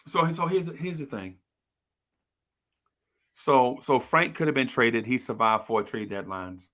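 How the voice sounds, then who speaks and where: A man's voice: mid-range voice, a little slow, a bit bright. A man co-hosting a sports talk show, teasing and joking and calling people "bro". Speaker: co-host. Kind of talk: casual sports radio banter.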